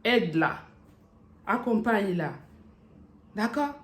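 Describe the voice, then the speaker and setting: A woman's voice: mid-range voice, lively intonation, takes it slowly, echoey room. A woman from Africa giving emphatic family advice to camera and checking in with her listeners. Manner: emphatic and preachy, checks in with "d'accord?"; ends with blessings in a sermon-like cadence.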